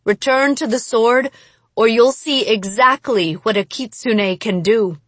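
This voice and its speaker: A woman's voice: on the high side, fairly even intonation, very slow, slightly rough, no room sound, exaggerated voice. A woman voicing a young female fox spirit in an audiobook, earnest and emotional. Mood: defiant. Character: young female kitsune, anguished yet defiant. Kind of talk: dramatic audiobook character voice.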